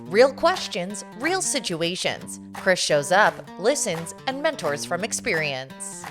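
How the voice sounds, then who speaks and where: A woman's voice: lowish voice, very animated, slow pace. A woman reading scripted intros and outros as the host and announcer of a show. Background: music under the voice.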